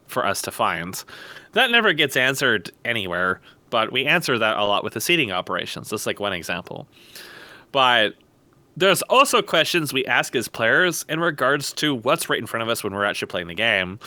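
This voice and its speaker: A man's voice: lowish voice, sharp tone, no echo. A man discussing games on a podcast in a blunt, emphatic, opinionated way, often posing rhetorical questions. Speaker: podcast guest and game content developer. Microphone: voice call microphone.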